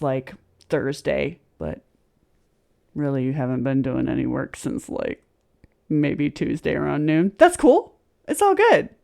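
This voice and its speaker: Casting: woman streamer, slightly low voice, chatty and wry, reacting casually from a small room at home. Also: vocal fry, darkish tone. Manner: informal, joking, sardonic. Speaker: host of a live reaction show. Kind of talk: casual livestream chat and reaction commentary. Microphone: close desk microphone on a boom arm, with headset.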